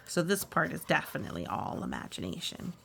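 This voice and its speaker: A woman's voice: low-pitched, very animated, brisk, gravelly, pushed and bright, a little hiss or hum. A woman reading a story aloud, with reassuring, musing, chatty asides as if to children. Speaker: storytime reader.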